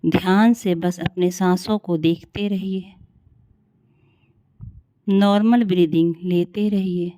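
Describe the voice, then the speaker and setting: A woman leading a guided meditation in a calm, soothing, unhurried way with long pauses. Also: fairly low pitch, dry recording.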